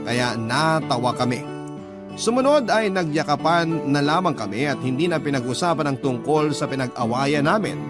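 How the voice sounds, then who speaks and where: A man's voice: a little high, animated, normal speed, music in the background, close to an everyday voice. A male actor narrating as a young Filipino man sincerely recounting his love story in a radio drama. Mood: reflective. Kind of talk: first-person radio drama letter narration.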